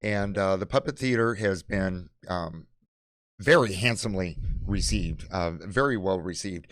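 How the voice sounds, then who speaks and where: Low-pitched man presenting products, dry sound. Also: a bit bright, dry recording. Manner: halting, filler-heavy delivery. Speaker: presenter of library program kits.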